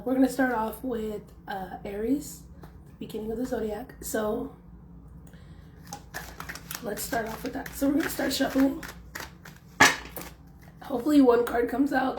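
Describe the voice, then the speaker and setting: A young woman with a hoarse, worn-out voice, chatting casually through a tarot reading and leaning on lots of fillers. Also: a little high, a little mellow. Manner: chatty, loose, filler-heavy. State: hoarse, losing her voice. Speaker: tarot reader. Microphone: phone. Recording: echoey room, faint background noise.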